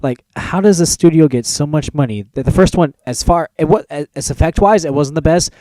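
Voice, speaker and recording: Young male podcast host, relaxed warm tone, informal and exclamatory, dry close recording. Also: on the high side, fairly quick, no room sound. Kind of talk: casual podcast chat.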